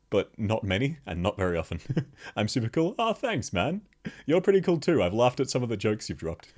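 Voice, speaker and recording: Deep bassy guy streaming, chatty, laughs all the time, mic level jumps around as he moves. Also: a little mellow. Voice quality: very deep bass voice. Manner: laughs constantly, chatty, answers chat questions. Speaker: VTuber streamer. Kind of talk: casual live-stream chat with viewers. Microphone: streaming microphone, level shifts as he moves.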